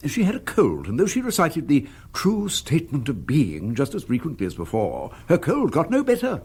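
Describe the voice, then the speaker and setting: Fussy, husky, swooping husband. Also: male voice, on the high side, wildly swooping pitch, moderate pace, a little mellow, heavily acted. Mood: hesitant, gossipy. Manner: hesitant, fussy, deferential. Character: fussy, devoted, precious husband with poetic pretensions. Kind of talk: British radio comedy drama acting.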